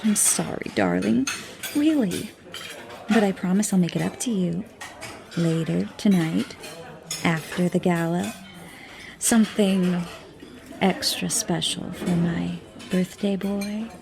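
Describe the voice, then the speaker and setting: A woman's voice: fairly low pitch, takes it slowly, creaky, pauses often, noisy background. Wry, overworked woman scientist. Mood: tender, flirtatious. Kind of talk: audio drama acting.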